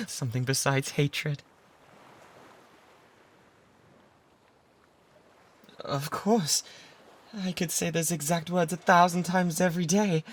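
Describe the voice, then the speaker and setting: A man acts out a lovesick British pirate, utterly devoted and self-abasing, in an intimate ASMR roleplay. His mid-pitched voice pleads with breathy fervour close to the mic, over noticeable background noise. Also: lightly acted. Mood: fervent, pleading. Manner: fervent, breathy pleading that often drops toward whispers. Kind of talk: romantic ASMR roleplay acting. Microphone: close binaural microphone.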